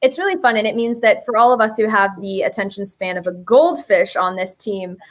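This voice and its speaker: A woman's voice: mid-range voice, expressive, a little fast. A young adult woman chatting casually as an interview guest, explaining her creative work in a giggly, slangy, playful way.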